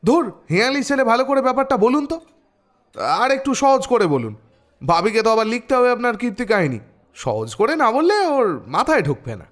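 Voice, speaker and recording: A brusque Bengali sidekick in an audio story, with a very high, animated voice. He is impatient and teases mockingly as he demands plain answers. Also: normal speed, exaggerated voice. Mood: impatient, teasing. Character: impatient, teasing male friend of the detective.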